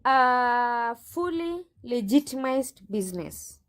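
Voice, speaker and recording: Brief: woman agent under questioning, high voice, firm, sassy comebacks. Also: lively intonation, slow, fairly bright tone. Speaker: recruitment agent. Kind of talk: defensive interview answers.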